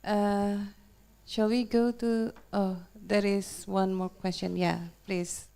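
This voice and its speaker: Mid-pitched woman moderating a seminar. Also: flat delivery, slow pace, gravelly, dark tone, smooth. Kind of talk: moderating audience questions.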